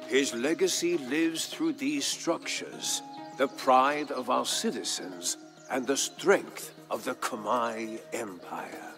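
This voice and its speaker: Dramatic male narrator with sweeping, animated delivery. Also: mid-range voice. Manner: grave, grandiose, deliberate phrasing. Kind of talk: dramatic scripted storytelling narration. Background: music.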